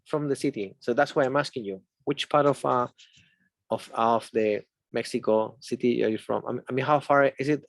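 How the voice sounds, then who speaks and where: A man's voice: medium pitch, vocal fry, no echo. Chilean man hosting a conversational English lesson. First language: Spanish. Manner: explains step by step with examples. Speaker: English teacher, host.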